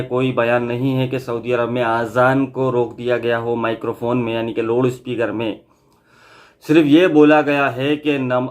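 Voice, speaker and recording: A man presents an informal news update to his viewers. His voice sits at an average pitch for a man. He explains things plainly, repeating key points for emphasis as a vlog host.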